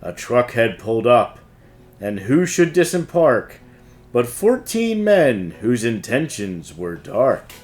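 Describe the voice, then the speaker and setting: Man reading a storybook, lively and unhurried. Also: medium pitch, faint music behind. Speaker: host and storybook reader. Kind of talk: read-aloud storybook narration.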